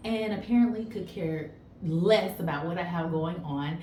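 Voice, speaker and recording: A woman's voice: on the low side, normal speed, dark tone, slight background noise. A woman tarot reader, blunt and chatty, giving a rambling casual reading outdoors while congested and sniffly. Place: outdoors in the early morning.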